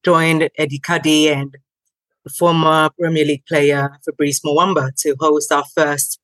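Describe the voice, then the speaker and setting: A woman's voice: sits low, measured pace, dry recording, poor audio. Ghana-born woman telling her story. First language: not English. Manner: lively storyteller, many ums, acts out quotes. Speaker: guest. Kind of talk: conversational interview.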